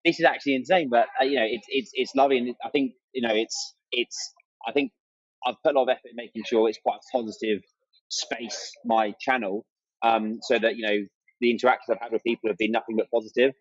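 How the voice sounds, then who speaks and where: A man's voice: medium pitch, fairly even intonation, quick pace, dry recording, lo-fi sound. A man chatting casually and enthusiastically as a guest on a podcast. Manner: chatty and enthusiastic, acts out remembered exchanges. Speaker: interview guest, amateur runner and content creator.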